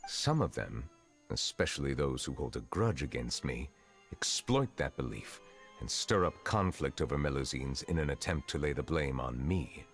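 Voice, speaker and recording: A grave fantasy game magistrate with an extremely low voice. He is formal and reserved, choosing stately words with measured care. Faint music plays behind him. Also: expressive. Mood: solemn, reflective. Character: solemn, formal male chief justice, centuries old, reserved. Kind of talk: fantasy video game voice acting.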